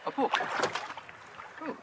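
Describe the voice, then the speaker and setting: An actor playing a gentle, curious English priest in a radio drama, with a musing, hesitant, wryly humorous manner. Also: male voice, slow, close to an everyday voice. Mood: teasing. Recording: very noisy radio drama recording.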